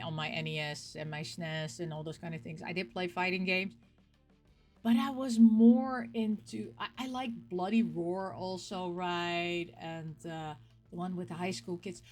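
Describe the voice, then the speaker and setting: A middle-aged woman chatting casually as a game collecting show host, rambling and animated, often questioning herself aloud. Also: fairly low pitch, unhurried, faint music behind. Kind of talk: casual rambling vlog chat about game pick-ups.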